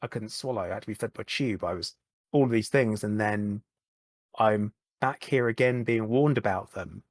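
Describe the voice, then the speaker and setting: A man, a podcast guest, talking candidly in a halting way, often repeating words and starting over. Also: lowish voice, dry recording. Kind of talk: candid conversational chat.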